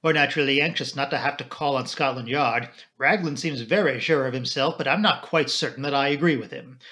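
A man's voice: medium pitch, a little fast, bright, forceful tone, close to an everyday voice. A man voicing an English colonel and chief constable in an audiobook, courteous, affable and official in manner. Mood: confiding. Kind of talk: audiobook character dialogue.

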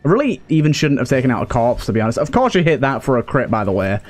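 A man's voice: medium pitch, sing-song, fast talker, faint music behind. A man hosting a video game playthrough with casual, conversational commentary. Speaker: gaming host. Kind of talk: casual let's play commentary.

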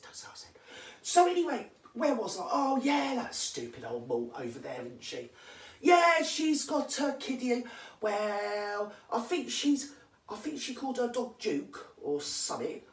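A vlogger with a London accent, rattling off comic celebrity gossip, answering their own questions and dropping into hushed asides. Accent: London English. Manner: drops to hushed asides, jumps to emphatic high yeses. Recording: echoey room, voice far from mic.

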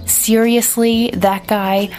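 A woman voicing an irritable, scornful young man who scolds his sister, pitched a little above her narration, with faint music behind. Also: animated, a little slow, darkish tone, lightly acted. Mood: indignant.

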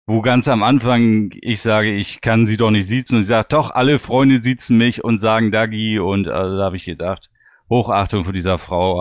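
A man speaks as a podcast panelist in a casual, reminiscing way. His voice sits a little low and is smooth and resonant. The sound is dry and close. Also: no room sound.

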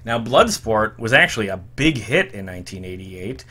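A man in his thirties hosts a retro music podcast from his home room. His tone is bright and forceful, and he talks like an eager, emphatic fan. He sits at a desk mic. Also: on the low side, animated, moderate pace. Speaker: podcast host. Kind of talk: enthusiastic retro music commentary.

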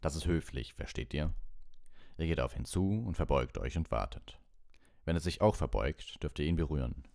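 A man voicing a kindly, gruff giant gamekeeper who teaches clumsily in simple, folksy words in a storybook reading. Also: very deep voice, animated, moderate pace, darkish tone, dry recording, clean studio sound, exaggerated voice. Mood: proud, instructing.

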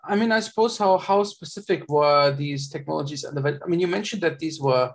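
A man's voice: on the high side, fairly quick. A young man moderating a webinar, reading out audience questions with many hesitations, over a video call.

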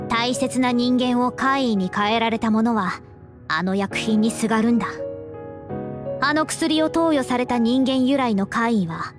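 A knowing, unflappable young woman soldier, voiced by an actress in an anime game. Her voice is high, her phrasing frank and measured. A score plays clearly underneath. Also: natural voice. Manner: measured explaining, breezy masculine-style phrasing. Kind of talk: Japanese anime game voice acting. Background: game music.

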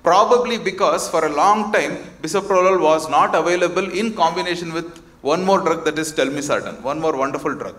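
A man's voice: on the high side, fairly quick, few pauses, fairly bright tone. A man speaking as a cardiologist on a medical panel, confident and polite as he explains, on a conference stage with a handheld mic. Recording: echoey conference hall.

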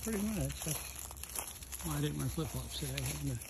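A man's voice: on the high side, expressive, moderate pace. Laid-back man chatting on a forest hike. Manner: easygoing, reminiscing, narrates the trail. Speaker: vlogger. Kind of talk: casual chat on a hike. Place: outdoors on a wooded trail. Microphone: phone camera held while walking. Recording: clear outdoor noise.

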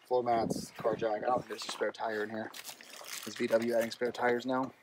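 A man's voice: medium pitch, flat delivery, normal speed, very noisy. A man giving a casual car walkaround on a dealership lot, thinking aloud with fillers and restarts, recorded on a handheld phone. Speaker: car vlogger. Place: outdoors at a car dealership lot, then inside a car. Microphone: handheld phone camera.

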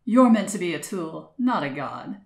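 A woman's voice: lowish voice, big pitch swings, moderate pace, gravelly. A woman playing a sinister, gloating monster cult leader, menacing and scornful, in a fan-made audio drama. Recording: echoey, with loud music behind.

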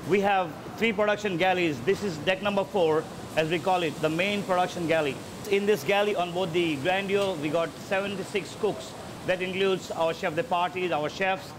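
Man with a high voice, chef giving a kitchen tour, repeats himself, faint background hum. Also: normal speed. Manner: repeats words while gathering thoughts. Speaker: executive chef. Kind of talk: casual walkthrough explanation. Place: ship galley kitchen.

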